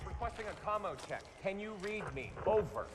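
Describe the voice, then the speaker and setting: An actor playing an anxious young army radio operator in a war game, reporting with urgency. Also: male voice, sits high, very animated, brisk, heavy background noise. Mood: tense. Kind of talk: cinematic war game acting.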